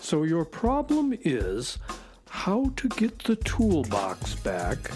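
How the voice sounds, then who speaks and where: A man voicing a sardonic, self-important talking space horse, a deadpan and snide sidekick in a children's radio drama. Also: fairly high pitch, takes it slowly, over music, full character voice. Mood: mischievous.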